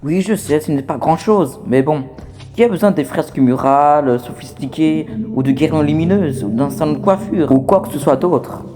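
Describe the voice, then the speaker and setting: A man's voice: fairly high pitch, normal speed, warm tone, soft background music, lightly acted. A man voicing a scrappy, talkative toy outcast, wary but scheming, in a fan dub of a horror game. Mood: wistful, defensive. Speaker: amateur voice actor dubbing a game character.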